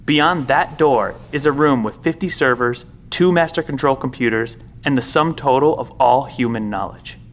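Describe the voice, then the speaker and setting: Audio drama: weary, secretive male professor, played by a man, mid-range voice, measured explainer. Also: medium pitch, natural voice. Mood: solemn. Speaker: professor, secret bunker guardian.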